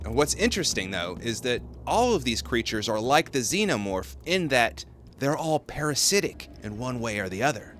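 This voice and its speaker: A man's voice: medium pitch, very animated, normal speed, fairly bright tone, a little hiss or hum. A man delivering scripted video-essay commentary with casual asides.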